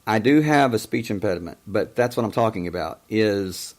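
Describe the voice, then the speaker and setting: A man in his fifties who stutters and stammers, hosting a call-in show in a casual monologue, often pausing to search for words. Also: on the low side, lively intonation. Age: 50s. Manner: many pauses and hesitations.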